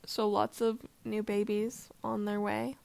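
A woman talks through a relaxed family podcast conversation, her voice carrying a gravelly creak. She stalls often on "um" between thoughts, sitting near a shared mic. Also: medium pitch, unhurried. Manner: hesitant, lots of "um" fillers. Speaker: podcast co-host. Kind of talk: casual family chat. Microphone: shared mic, close to her.

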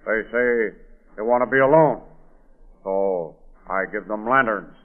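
A man's voice: fairly low pitch, unhurried, natural voice. A man playing a stoic cave guide, tinny radio. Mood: matter-of-fact. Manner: clipped broken English, simple phrases. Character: stoic male cave guide speaking broken English. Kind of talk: 1940s radio drama acting. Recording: tinny, band-limited old radio recording.

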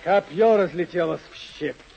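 An actor playing an urbane storyteller in a vintage radio play, addressing listeners with deliberate, theatrical phrasing. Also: male voice, on the high side, vocal fry, over loud music. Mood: dramatic, suspenseful. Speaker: narrator. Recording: dull old radio recording.

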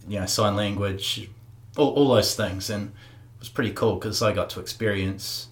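A man's voice: on the low side, moderate pace. A man hosting an interview, chatting in a laid-back, casual way with everyday slang. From New Zealand. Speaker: podcast host.

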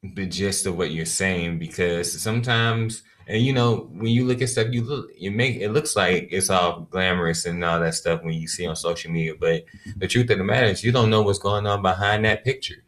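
A man co-hosting a podcast, speaking in a measured, reflective way and offering advice. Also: low voice, fairly even intonation, a bit bright. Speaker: podcast co-host. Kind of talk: casual reflective chat.

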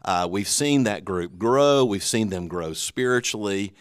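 Southern american man, low voice, earnest podcast host, dry close sound. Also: animated, measured pace, clean tone, dry recording. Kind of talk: church podcast conversation.

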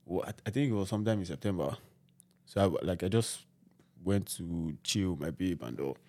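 A man's voice: low voice, fairly quick. A man in his thirties with a Nigerian accent, chatting casually and acting out his reactions as he tells stories. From Nigeria (Ibadan, Lagos). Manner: animated storyteller, acts out reactions. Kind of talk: casual chat.

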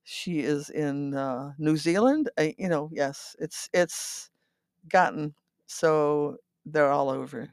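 Elderly woman reminiscing, halting and dry-recorded. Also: low-pitched, no echo. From Netherlands. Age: 80s. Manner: rambling, halting, often repeats words and seeks agreement with "right?". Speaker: interview guest telling her life story. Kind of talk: reminiscing, conversational storytelling.

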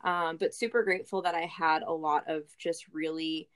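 An American woman telling her personal story as a guest in a candid, reflective, halting way, often restarting her phrases. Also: low voice, steady tone. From Boston area, Massachusetts, USA. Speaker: podcast guest, business owner.

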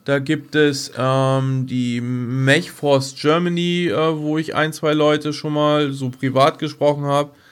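A man's voice: a little high, level tone, slow, few pauses, clear, resonant tone. A man chatting casually as a podcast co-host, in a rambling, colloquial and enthusiastic way.

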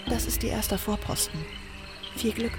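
A man's voice: high voice, little pitch movement, moderate pace, loud music behind the voice, pitched above the performer's own voice, close to an everyday voice. A man voicing a terse, matter-of-fact woman who guides a companion through a fantasy swamp in an audio story. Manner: terse, plain-spoken.

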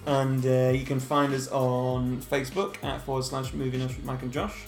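Guy chatting on a movie podcast, bit of fry, joking around. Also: medium pitch, steady tone, normal speed. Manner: laughing, joking banter. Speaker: podcast co-host. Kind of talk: casual chat. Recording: echoey room with music underneath.

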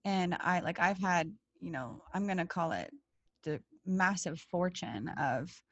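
A young woman raised in Canada speaks in a slightly low voice. She tells personal stories candidly and informally, stressing her points and acting out bits of dialogue. The recording is dry and a touch muffled. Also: unhurried, no echo. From Canada, born in Poland. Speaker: interview guest. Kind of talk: candid conversational personal storytelling.

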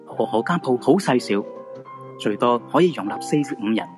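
Mid-pitched Hong Kong man narrating, music behind. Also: animated, moderate pace, a little mellow. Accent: Hong Kong Cantonese. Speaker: radio drama narrator. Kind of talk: scripted dramatic storytelling.

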